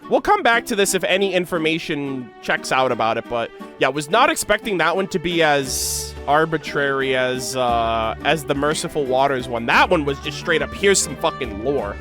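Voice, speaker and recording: A man's voice: fairly high pitch, expressive, normal speed, hardly any pauses, sharp tone. An American man giving casual, informal reaction commentary as he thinks aloud about game lore and reads bits of it out. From United States. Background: music.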